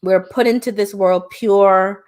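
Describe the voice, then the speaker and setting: A woman's voice: mid-range voice, steady tone, measured pace, a little mellow. A middle-aged woman from Jamaica, hosting a live-stream discussion and speaking passionately, often turning to address her viewers directly. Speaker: host and hypnotherapist.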